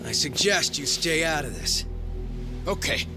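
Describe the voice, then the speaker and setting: A man's voice: on the high side, lively intonation, measured pace, music in the background. An actor playing an arrogant, officious security police officer in a video game, condescending and menacing as he gives orders. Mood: commanding. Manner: condescending, commanding.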